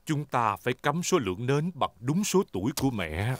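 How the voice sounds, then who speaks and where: A man's voice: medium pitch, big pitch swings, normal speed, dry recording, natural voice. A man voicing a jolly, boastful father pig with a hearty manner in a dubbed children's cartoon. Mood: cheerful. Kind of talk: dubbed preschool cartoon acting.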